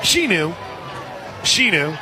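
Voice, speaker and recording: Excitable high-pitched man calling live softball. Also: slow pace, poor audio. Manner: excitable, punchy short calls. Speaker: radio play-by-play announcer. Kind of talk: live softball play-by-play commentary. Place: outdoor softball stadium. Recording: live outdoor stadium broadcast, crowd noise. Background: loud crowd and ballpark ambience.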